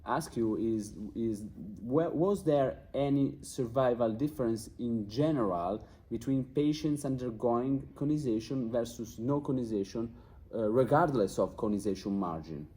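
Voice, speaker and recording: A man's voice: medium pitch, a little slow, warm tone. A man acting as interviewer, speaking in the formal style of a research interview. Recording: faint background noise and ambience.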